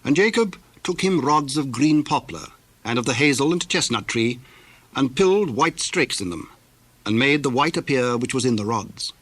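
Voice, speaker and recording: Male narrator for Bible drama: formal, measured, medium pitch, very little room echo. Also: lively intonation, fairly bright tone, breathy edge.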